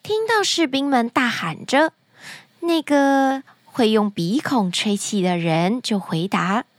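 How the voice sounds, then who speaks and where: A woman narrating children's stories as a podcast host, expressive and speaking directly to young listeners. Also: a little high, slow, no room sound. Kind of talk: animated storytelling narration for children.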